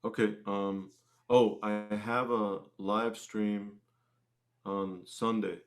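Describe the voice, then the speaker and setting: Lowish-voiced man, chatting as guest. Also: level tone, very slow. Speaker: interview guest, musician. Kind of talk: casual chat.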